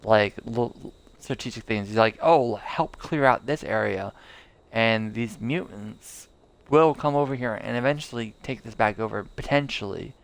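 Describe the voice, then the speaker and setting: A man's voice: fairly low pitch, moderate pace, creaky. A young man streaming a game live, rambling casually with lots of fillers and restarts. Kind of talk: casual live gameplay commentary.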